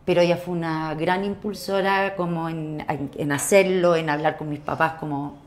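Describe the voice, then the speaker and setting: A middle-aged woman from southern Chile with a Chilean accent, reminiscing casually and thinking aloud in halting phrases. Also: low-pitched, steady tone, a little hiss or hum. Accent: Chilean Spanish. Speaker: actress, interview guest.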